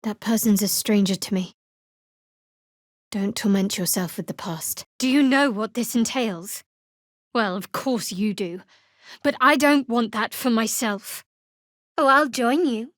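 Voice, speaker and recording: A woman playing a guarded, sardonic young cleric of a dark goddess in a fantasy video game, with a dry, wry, clipped delivery. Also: fairly high pitch, measured pace, pauses often, dry recording, close to an everyday voice. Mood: reflective, earnest.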